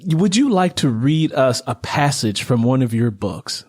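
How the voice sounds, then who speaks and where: A man's voice: medium pitch, very animated, a little slow, darkish tone, no echo, clean studio sound. A man hosting an interview, asking his guest questions in a conversational way. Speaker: interviewer, show host.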